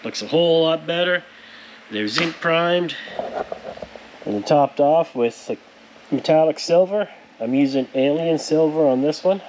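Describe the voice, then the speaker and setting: A man's voice: on the high side, animated, a little slow, darkish tone, slight background noise, rough recording. A man hosting a casual workshop vlog, speaking to viewers in an informal, folksy way. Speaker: host of a powder coating workshop video. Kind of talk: casual to-camera shop vlog.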